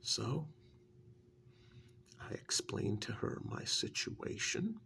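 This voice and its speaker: A man playing a witch's assistant in an ASMR role-play, softly telling his story in an earnest, wary, hesitant way with a breathy, gravelly voice. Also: mid-range voice, big pitch swings, a little hiss or hum, exaggerated voice. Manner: hesitant, unhurried first-person storytelling.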